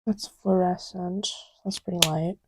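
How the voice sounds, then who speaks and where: A woman speaking softly and often half-whispering, murmuring to herself in a casual, ASMR-style ramble. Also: on the low side, steady tone, measured pace, noisy background. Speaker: audio creator.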